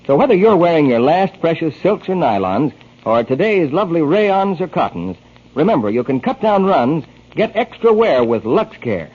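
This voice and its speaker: A man's voice: on the high side, very animated, moderate pace, husky. A man reading scripted advertising copy as a 1940s radio announcer. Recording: dull 1940s radio broadcast recording.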